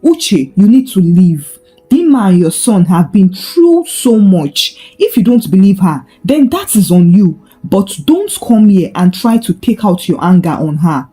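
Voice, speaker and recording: A woman's voice: medium pitch, big pitch swings, a little slow, mellow, soft background music. A woman storyteller voicing a firm, protective male doctor in a dramatised folktale.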